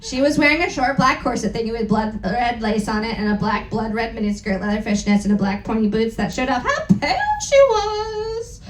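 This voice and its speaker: Animated high-voiced woman doing a comic reading. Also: brisk, few pauses, a little hiss or hum. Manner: theatrical, exaggerated, breaks into amused asides. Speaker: performer reading aloud to an audience. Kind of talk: comic dramatic reading of fanfiction. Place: live event room before an audience. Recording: roomy live venue, echoing.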